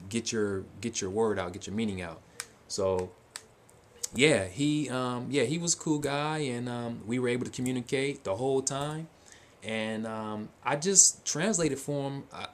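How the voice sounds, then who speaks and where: Low-voiced man rambling through a story. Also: slight background noise. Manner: loose, full of fillers and restarts. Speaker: vlogger answering viewer questions.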